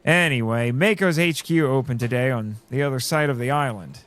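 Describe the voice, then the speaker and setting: A man with a theatrical, excitable delivery, acting and narrating a scripted first-person drama. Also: mid-range voice, fairly quick. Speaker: host and narrator of a fiction podcast.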